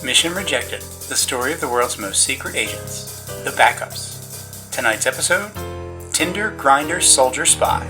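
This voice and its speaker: A man's voice: mid-range voice, lively intonation, a little slow, over music, lightly acted. A man announcing a drama episode in a scripted, dramatic introduction style.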